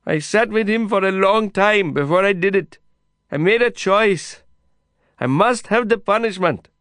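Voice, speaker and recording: A man voicing a proud, desperate male prisoner, pleading loudly and insistently through a fence. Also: high voice, expressive, moderate pace, clear, resonant tone, dry recording, studio quality, voice pushed up. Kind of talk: audiobook character voice.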